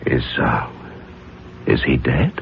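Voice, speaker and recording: An actor playing a respected judge gone murderously mad, intense and halting, in 1970s radio drama style. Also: male voice, very deep voice, vocal fry, highs cut off, exaggerated voice. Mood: evasive. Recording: hissy, band-limited 1970s radio recording.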